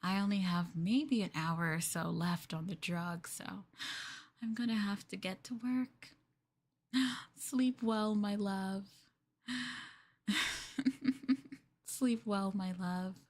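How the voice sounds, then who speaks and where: A woman performs a yandere girlfriend for an ASMR roleplay, sweet-talking and obsessively possessive. She coos and coaxes at an unhurried, slow pace, in a medium-pitched female voice. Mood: sweetly sinister. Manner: cooing, coaxing, with murmurs and giggles.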